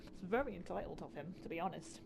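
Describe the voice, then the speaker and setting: A woman's voice: lowish voice, lively intonation, quick pace, vocal fry, background noise. A woman reacting casually to a game as she plays, blunt, sweary and sarcastic. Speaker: gaming video host. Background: game music.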